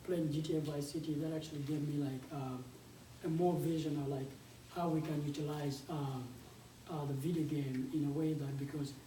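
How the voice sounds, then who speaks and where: A young man with a South Sudanese accent presents in a soft-edged, mellow tone. His delivery is halting and conversational, picked up from a distance in an echoey room with faint noise behind. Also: on the high side, fairly even intonation. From South Sudanese, grew up in a refugee camp in Uganda. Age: 20s. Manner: halting, conversational, with frequent repeated words. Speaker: game developer and startup founder, guest speaker. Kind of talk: informal conference presentation.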